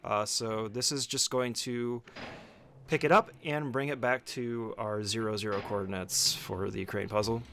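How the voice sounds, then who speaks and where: A man's voice: fairly low pitch, steady tone, a little slow, gravelly, a bit bright, slight background noise. A man giving a casual, step-by-step speedrun tutorial as commentary, speaking informally and giving short instructions.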